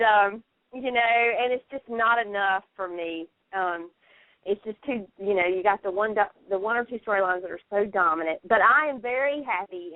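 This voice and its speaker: A woman's voice: medium pitch, no room sound. A woman talking emphatically and effusively in a casual radio chat about television. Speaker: radio show panelist. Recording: dry, tinny phone line.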